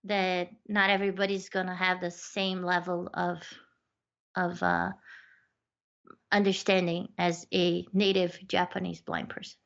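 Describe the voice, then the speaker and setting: A woman's voice: lowish voice, little pitch movement, unhurried, creaky, a bit bright, lo-fi sound. A woman in casual conversation, talking in a chatty way with many fillers and restarts as she tells stories about her work. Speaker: accessibility researcher and guest.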